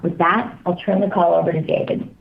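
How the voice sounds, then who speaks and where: Creaky, low-pitched woman reading a corporate script. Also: fairly even intonation, a little fast. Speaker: investor relations call moderator. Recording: dull call audio with faint noise.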